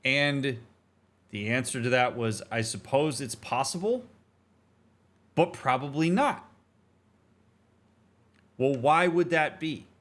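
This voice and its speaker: A man's voice: medium pitch, takes it slowly, long pauses, a bit bright. A man streaming a game, giving reflective commentary in an earnest, emphatic, explanatory and conversational manner. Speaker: streamer, therapist host.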